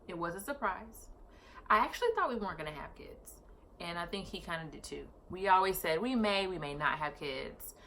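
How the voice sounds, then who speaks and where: A woman's voice: on the low side, lively intonation, fairly quick, bright, forceful tone, a little hiss or hum. A woman in her thirties telling a personal story on her vlog, chatty and casual, often correcting herself mid-sentence. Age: 30s. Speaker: vlogger.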